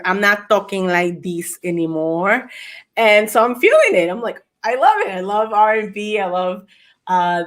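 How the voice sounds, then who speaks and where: Chatty woman from Peru telling a story. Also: fairly low pitch. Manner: animated, laughs often, many fillers and restarts. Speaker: co-host. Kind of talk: casual storytelling chat.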